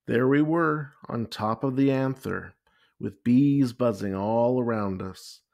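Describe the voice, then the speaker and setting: A man reading a children's picture book aloud with expressive, deliberate storytelling. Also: mid-range voice, gravelly. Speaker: story time reader.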